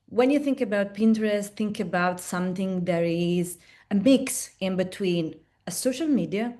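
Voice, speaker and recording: A woman's voice: fairly low pitch, a little mellow. A woman from Italy with an Italian accent, presenting in a webinar in a chatty way, with frequent restarts and tag questions. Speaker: platform representative presenting at a webinar.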